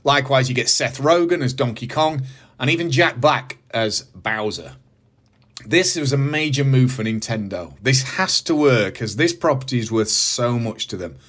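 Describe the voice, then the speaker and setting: A British man in middle age speaks at an average male pitch as the host of a review show. His delivery is scripted commentary loosened by casual asides. He phrases his points with emphasis and enthusiasm. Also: animated, moderate pace, fairly bright tone. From United Kingdom. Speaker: host of a movie review channel.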